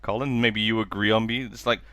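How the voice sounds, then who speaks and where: Casting: male podcast co-host, lowish bright voice, joking and halting in casual talk. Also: steady tone. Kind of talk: casual chat.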